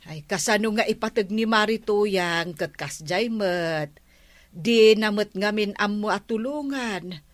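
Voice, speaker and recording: Woman playing opinionated village wife, mid-pitched, dry studio sound, chiding, matter-of-fact, Ilocano accent, radio comedy drama. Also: unhurried, no room sound, lightly acted. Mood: disapproving.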